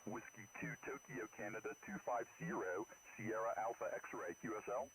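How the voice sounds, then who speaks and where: A man's voice: on the low side, lively intonation, moderate pace, a bit bright. A man making clipped contest exchanges as an amateur operator, heard over shortwave radio. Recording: faint, noisy ham radio signal.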